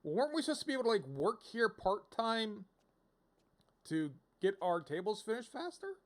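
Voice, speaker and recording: A man's voice: sits high, big pitch swings, moderate pace. A man giving casual livestream game commentary, rambling as he thinks aloud. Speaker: game streamer.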